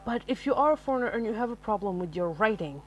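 A woman's voice: mid-range voice, expressive, quick pace, highs cut off, slight background noise. A woman from Algeria whose first language is Arabic, hosting a solo podcast and giving casual, rambling advice with many fillers and self-corrections. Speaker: podcast host. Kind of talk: solo podcast talk on writing.

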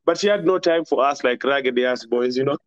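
A man's voice: mid-range voice, fast, dry recording, muffled recording. A young man with a Kenyan accent, a podcast guest telling stories from school in a rambling, casual way. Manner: rambling, laughs often, mixes in Swahili. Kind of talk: casual storytelling chat.

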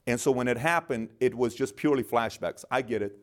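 A middle-aged man born in Iran, guest in an interview, telling animated stories and acting out the dialogue. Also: medium pitch, a little fast. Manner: animated storyteller, acts out dialogue in quotes. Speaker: guest, business founder. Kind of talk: storytelling interview.

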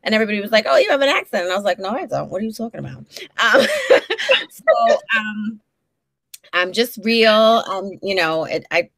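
A woman's voice: medium pitch, huge pitch swings, moderate pace, a bit bright, no echo. A woman in her forties with a Brooklyn accent, a social worker talking frankly as an interview guest, with many fillers and restarts. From Brooklyn, New York. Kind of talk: casual interview conversation.

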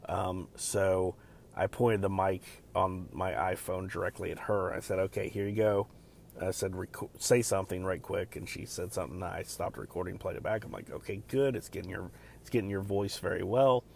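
A man hosting a podcast alone, talking in a loud, deep voice and rambling casually with many fillers and restarts. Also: steady tone, normal speed, gravelly, slight background noise. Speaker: podcast host. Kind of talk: casual solo podcast chat.

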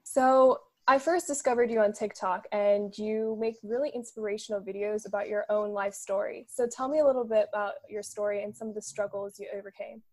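Podcast host: young woman, medium pitch, informal conversational interviewer, attentive. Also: steady tone, fairly quick, few pauses. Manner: informal, attentive backchannels, frequent "like".

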